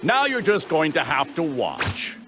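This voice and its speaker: Smug, lively-pitched monster villain. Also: male voice, a little slow, heavy background noise. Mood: smug, mocking. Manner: taunting, self-assured drawl. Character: smug, arrogant male monster villain, mockingly suave. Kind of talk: anime video game voice acting. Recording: loud music behind the voice.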